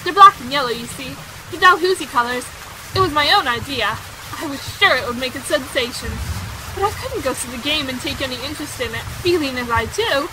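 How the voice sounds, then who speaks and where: An actress plays a young society woman, high-pitched and headstrong, who chatters on with dramatic emphasis. She is vain and candid, in the manner of a period radio play. Rain patters clearly behind her. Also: brisk, background noise. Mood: chattily indignant. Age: young adult. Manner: breathlessly talkative, emphatic, dramatic. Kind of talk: audio drama acting, period dialogue. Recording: steady rain sound effects behind.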